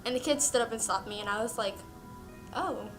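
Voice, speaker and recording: A woman's voice: fairly high pitch, fairly quick, low-quality recording. A young woman from Puerto Rico, a native Spanish speaker, telling personal stories casually and animatedly. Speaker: interviewee, peer mentor. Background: background music.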